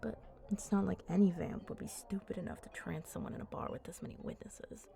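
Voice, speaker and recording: A woman playing a flirty, confident vampire in an intimate ASMR roleplay, talking softly and playfully up close and often dropping into breathy murmurs. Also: fairly low pitch, animated, a little fast. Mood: confiding, flirty. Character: flirty, teasing, confident female vampire at a bar. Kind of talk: ASMR roleplay, intimate one-sided chat.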